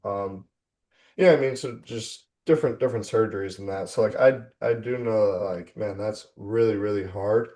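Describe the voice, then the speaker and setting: Mellow, low-voiced young man chatting informally. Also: normal speed. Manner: informal, slangy, frequent "man" and "dude". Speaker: interview host. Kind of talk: casual chat.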